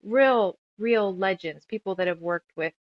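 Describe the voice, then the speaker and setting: A woman's voice: mid-range voice, unhurried, no echo, dull audio. A woman from the United States speaking as a creative guest in a casual interview, talkative and reflective as she rambles through her thoughts. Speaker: guest, author, musician and audio drama creator.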